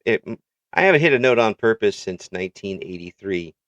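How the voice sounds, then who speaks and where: A man's voice: fairly low pitch, lively intonation, fairly quick, fairly bright tone, no room sound, muffled recording. A middle-aged man hosting a casual interview, joking and self-mocking with frequent laughs. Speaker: interview host.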